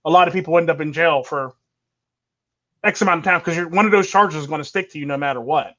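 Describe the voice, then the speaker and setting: A high-pitched man hosting a casual livestream chat. He is opinionated and talks in long, rambling runs, laughing easily. The audio is dull and lo-fi, like a remote call. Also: fast talker, fairly bright tone.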